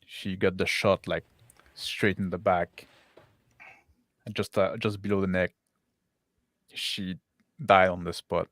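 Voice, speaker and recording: A man narrating an improvised tabletop game as game master, piecing his descriptions together haltingly. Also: deep voice, level tone, a bit bright, dry recording.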